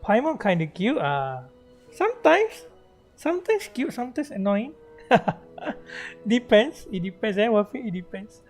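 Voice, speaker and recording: A woman's voice: a little high, very animated, unhurried, darkish tone, faint music behind. A woman with a Malaysian accent, a native Malay speaker, giving casual, teasing livestream commentary on a game. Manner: informal, teasing, chuckling.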